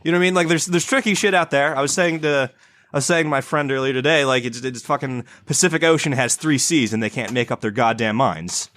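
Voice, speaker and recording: A man's voice: medium pitch, fast talker, a bit bright. A man with a Chicago accent co-hosting a casual podcast, swearing and ranting combatively. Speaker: podcast co-host. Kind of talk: casual chat.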